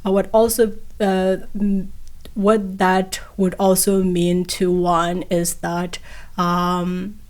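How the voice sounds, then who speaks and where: Slow, hesitant woman. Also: on the low side, level tone, a little mellow. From Nepal. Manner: hesitant, many fillers and restarts. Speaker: guest, PhD candidate. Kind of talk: podcast interview.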